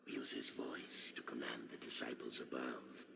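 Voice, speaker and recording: An actor playing a deranged, hungry, wrathful undead vampire god for a video game, grandiose and erratic, with a gravelly, guttural voice. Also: male voice, a bass voice, flat delivery, moderate pace, very noisy, heavily acted. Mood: cold, possessed. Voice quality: gravelly, guttural, breaking into whispers and shrieks. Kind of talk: dramatic video game acting. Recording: long cavernous reverb.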